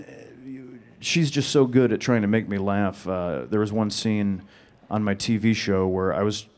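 Deadpan monotone man. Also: lowish voice. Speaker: panel guest. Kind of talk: audience Q&A answers.

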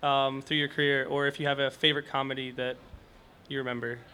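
A man's voice: medium pitch, flat delivery, a little fast, bright, forceful tone, a little hiss or hum. A man from the audience asking a question hesitantly, with many fillers.

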